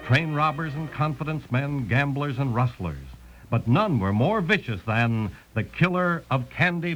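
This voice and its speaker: An American man delivering dramatic scripted narration for a vintage radio western. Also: medium pitch. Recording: dull old radio recording. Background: loud music.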